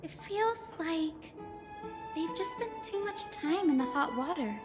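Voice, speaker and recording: A woman's voice: extremely high pitch, expressive, measured pace. An actress voicing a polite, earnest young female healer in a video game. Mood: earnest, concerned. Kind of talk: scripted video game voice acting. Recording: long hall reverb, loud music. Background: music.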